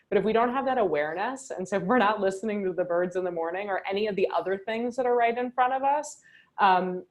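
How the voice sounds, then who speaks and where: Chatty woman leading a journaling session. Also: lowish voice. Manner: upbeat, chatty, many "like" and "right?" fillers. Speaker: journaling workshop leader. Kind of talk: guided reflection on a video call.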